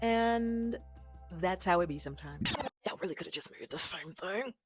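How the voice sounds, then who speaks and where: A woman's voice: fairly low pitch, faint music behind. Chatty woman vlogger with playful asides. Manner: chatty, animated, with playful asides and quick shifts in loudness. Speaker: vlogger reviewing films for language learners. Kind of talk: casual chat to camera.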